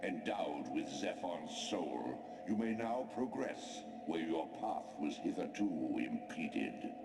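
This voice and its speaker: An actor playing an ancient godlike spirit in a video game, giving grave, oratorical exposition in a deep, gravelly voice. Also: male voice, big pitch swings, slow, a little mellow, soft background music, exaggerated voice. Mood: solemn, commanding. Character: ancient godlike spirit, male, solemn, commanding mentor. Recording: large echoing hall, slightly distant, faint noise.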